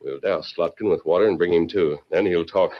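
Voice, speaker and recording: An actor playing a resolute masked cowboy hero in an old-time radio serial, authoritative and decisive. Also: male voice, sits low, a little fast, lightly acted. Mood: calm resolve. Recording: dull, very noisy vintage radio.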